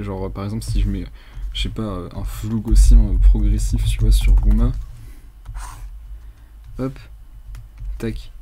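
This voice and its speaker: A young man giving a casual, unscripted software tutorial in a hesitant, rambling, colloquial way, with a slightly husky voice. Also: lowish voice, a little hiss or hum. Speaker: tutorial presenter.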